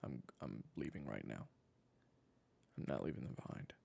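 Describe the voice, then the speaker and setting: A man playing a weary, sardonic assassin with a gravelly voice and halting delivery in an audio drama. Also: rumbling low, animated, a little mellow, exaggerated voice. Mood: anxious, resolute. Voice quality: gravelly, raspy. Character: weary gravel-voiced male assassin desperate to protect his family. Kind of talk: audio roleplay drama acting.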